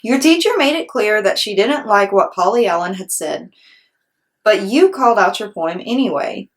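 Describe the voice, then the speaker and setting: A woman playing a patient, stern but kindly male school principal in a children's storybook, measured and gently admonishing. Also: medium pitch, lively intonation, natural voice. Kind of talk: children's storybook read-aloud.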